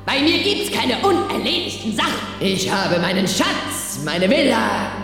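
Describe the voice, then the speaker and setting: A woman's voice: mid-range voice, very animated, unhurried, a bit bright. A woman playing a haughty, greedy villainess turned ghost in a theatrical German audio drama. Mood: smug, gloating. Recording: very echoey, distant, music behind.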